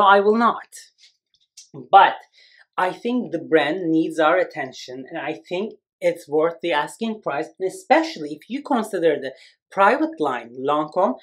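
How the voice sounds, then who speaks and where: A man in his thirties from Turkey, giving an animated, expressive perfume review to camera in a small room. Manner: animated, expressive, lively swings in pitch and volume. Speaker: fragrance reviewer. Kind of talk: casual video review. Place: small indoor room against a plain wall.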